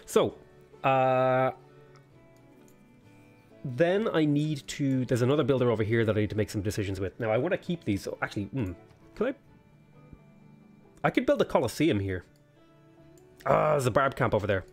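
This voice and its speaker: A man's voice: medium pitch, animated, moderate pace, long pauses, faint music behind. A man with a sniffly cold, casually commentating a strategy game while he thinks aloud, weighing choices with plenty of ums. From Ireland. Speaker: let's play host.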